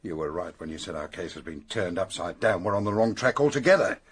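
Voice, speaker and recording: Husky, animated police detective. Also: male voice, low-pitched, quick pace. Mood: grave. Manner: blunt, questioning. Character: brisk male police detective, sceptical, exasperated, pressing. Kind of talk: detective radio drama acting.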